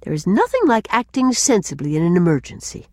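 A woman's voice: lowish voice, sing-song, brisk, a little mellow, dry recording, studio quality. A woman voicing a plucky, sensible young woman in an audiobook story. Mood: resolute. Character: young woman, sensible, plucky, chatty, flustered when cornered. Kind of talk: audiobook character dialogue.

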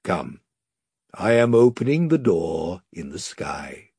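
A man voicing a great, wise male lion who speaks gravely, slowly and tenderly. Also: low-pitched, very animated, warm tone, dry recording, studio quality, voice pushed down, full character voice. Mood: solemn tender.

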